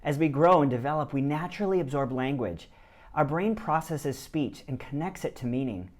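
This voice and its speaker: A man presenting a scripted educational video in the first person, with an expressive, explanatory delivery. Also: on the high side, normal speed. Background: background music.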